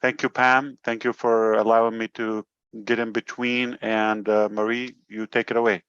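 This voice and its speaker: A man speaking informally as a company leader at an online meeting, halting as he searches for words. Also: lowish voice, level tone, a bit bright, clear, resonant tone, no room sound, muffled recording. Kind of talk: informal motivational address and chat.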